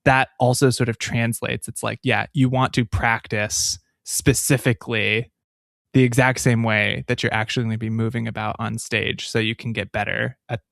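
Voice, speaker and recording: A man's voice: mid-range voice, level tone, moderate pace, vocal fry, a bit bright, no echo, studio quality. A man co-hosting a podcast, rambling in casual chat with lots of fillers. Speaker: podcast co-host.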